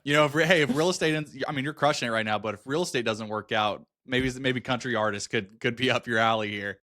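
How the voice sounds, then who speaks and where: A man's voice: mid-range voice, quick pace, sharp tone. A man hosting an interview podcast, encouraging and talking through his points at length, with frequent restarts. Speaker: podcast host.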